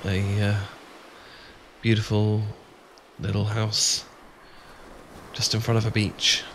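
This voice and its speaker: A man's voice: on the low side, monotone, takes it slowly, fairly bright tone. A British man playing an adorkable, bashful young friend in an ASMR boyfriend roleplay, hesitant, stammering and rambling. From Britain. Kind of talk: ASMR boyfriend roleplay, improvised-sounding casual chat. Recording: clear background noise with ambience.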